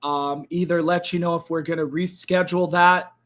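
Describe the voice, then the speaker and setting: A man hosting a crypto trading livestream, speaking casually to viewers, hoarse and tired as his voice gives out. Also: steady tone, moderate pace, fairly bright tone. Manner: tired, low-energy sign-off with frequent fillers.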